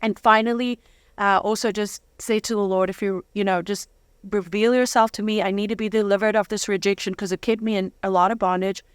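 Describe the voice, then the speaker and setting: A woman's voice: mid-range voice, steady tone, a little fast, clear, resonant tone, no echo, clean studio sound. A woman telling her personal testimony as an interview guest, with dramatic, candid storytelling and slangy humour.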